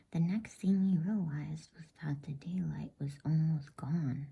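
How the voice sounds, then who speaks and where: A woman reading a bedtime story in a soft, unhurried ASMR whisper. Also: fairly low pitch. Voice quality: breathy, unvoiced whisper. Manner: soft, slow, unhurried, soothing delivery.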